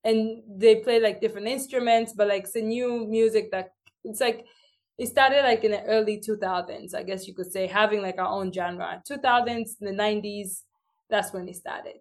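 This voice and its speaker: A young woman with a Tanzanian accent, a guest in a casual interview, telling stories in a lively way and acting out what others said to her. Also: mid-range voice, moderate pace.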